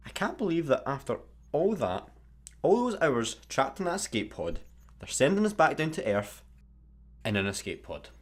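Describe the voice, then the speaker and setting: A man's voice: on the high side, big pitch swings, moderate pace. A man playing a grumpy, exasperated space satellite worker in a sci-fi comedy radio play, with a sarcastic, grumbling manner.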